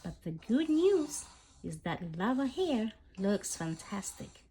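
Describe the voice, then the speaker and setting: A woman's voice: mid-range voice, very animated, takes it slowly, mellow. A woman voicing an upbeat, affectionate female unicorn sidekick in a storybook, chatty and playful. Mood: cheerful.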